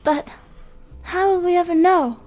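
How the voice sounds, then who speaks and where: A woman's voice: very high-pitched, a little slow, darkish tone, faint music behind, voice pushed up. A woman playing a melodramatic young informant. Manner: breathless, melodramatic. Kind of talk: comic radio noir acting.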